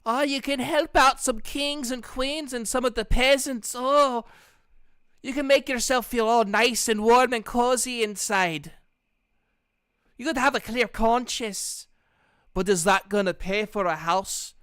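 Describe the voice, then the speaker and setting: A man's voice: very high voice, unhurried, pushed and bright, smooth, dry recording, studio quality, pitched above the performer's own voice, full character voice. A man voicing a scheming dwarf guild recruiter in a tabletop game, pushy and mocking, with emphatic salesman's patter.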